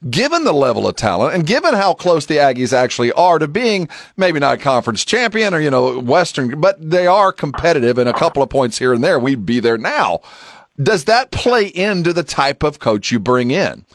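A male sports talk host with a lively, moving pitch. He sets up long questions for his guest in a very dry radio studio sound. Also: moderate pace, no room sound.

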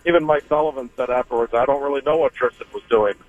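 A man talking hockey as a radio guest, chatty and relaxed, with lots of fillers. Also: mid-range voice, quick pace, faint music behind. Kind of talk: casual radio interview by phone. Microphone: phone. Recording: tinny phone line.

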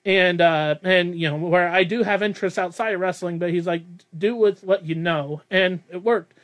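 A man's voice: high voice, normal speed. A young man hosting a casual podcast, rambling and self-deprecating, laughing often. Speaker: podcast host.

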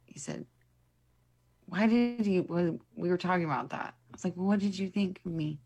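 A woman's voice: fairly low pitch, moderate pace, a little mellow, slightly rough. A young woman co-hosting a radio morning show, giggly and teasing in casual banter. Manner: giggly, self-deprecating, teasing. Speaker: radio co-host. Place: radio studio.

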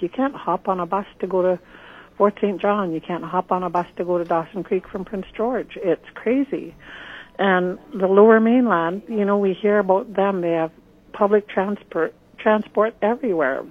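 A woman's voice: medium pitch, slightly rough. A middle-aged woman from northern Canada, running for office and answering interview questions over the phone in an earnest, plain-spoken way, often restarting her sentences. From northern British Columbia, Canada. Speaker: political candidate. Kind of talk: radio interview. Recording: tinny phone line.

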